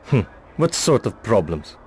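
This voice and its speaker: An actor playing a guarded, furtive bartender with shady dealings in a video game, wary and evasive, with a raspy, creaky voice. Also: male voice, fairly low pitch, sing-song, unhurried, darkish tone, slight background noise. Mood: wary, dry. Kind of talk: video game cutscene acting.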